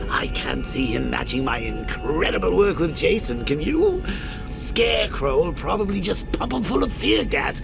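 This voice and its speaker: A man's voice: sits high, vocal fry, narrow, tinny audio, full character voice. An actor playing a gleeful, menacing male clown villain in a video game, with a mocking, theatrical delivery and frequent cackling. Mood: taunting. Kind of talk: game voice lines.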